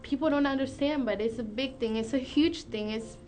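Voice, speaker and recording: A woman in her twenties from Honduras, giving a quiet, sincere testimony in a documentary interview. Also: high-pitched, moderate pace, soft background music. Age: 20s.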